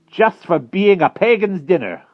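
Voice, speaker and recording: Man as a gloating, high-pitched cruel witch. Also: big pitch swings, a little slow, dry recording, highs cut off, full character voice. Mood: cruel glee. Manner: theatrical cooing, gleefully menacing. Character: gloating cannibal witch, cruel, mock-affectionate older woman.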